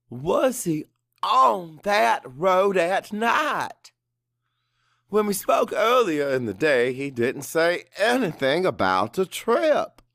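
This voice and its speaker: A man narrating a dramatic first-person audiobook in an emotive, deliberate way with pauses for effect. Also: medium pitch, a bit bright, no echo, clean studio sound.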